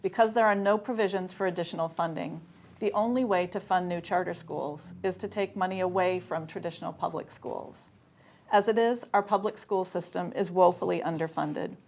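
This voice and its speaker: A woman's voice: lowish voice, brisk, darkish tone. A woman delivers a prepared, formal statement as a debate speaker.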